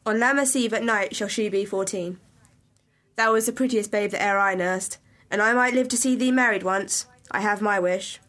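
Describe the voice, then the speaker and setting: A woman's voice: mid-range voice, moderate pace, a bit bright, natural voice. A woman playing a doting, garrulous nurse in a student Shakespeare audio play. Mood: fond, chatty. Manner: exclaiming, fond, garrulous. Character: Juliet's doting, chatty, earthy old nurse.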